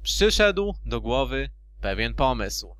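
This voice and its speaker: A man's voice: medium pitch, very animated, slow pace, a bit bright. A man narrating a storybook in an amateur fan dub, theatrically and with loose asides.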